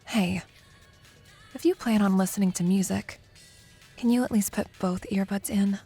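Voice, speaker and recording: A young woman playing a competitive college student in an intimate audio roleplay, a teasing, prickly but secretly fond rival who talks haltingly. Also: medium pitch, mellow, slightly rough, soft background music. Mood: grudging, prickly. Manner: teasing, halting, flustered deflection.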